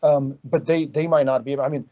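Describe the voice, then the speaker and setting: A man from Romania hosting a conversation, his voice mellow and dark. He speaks reflectively, with lots of ums and hedges. The video call audio is dull and muffled. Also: mid-range voice, steady tone, quick pace. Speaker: interview host. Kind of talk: conversational interviewing.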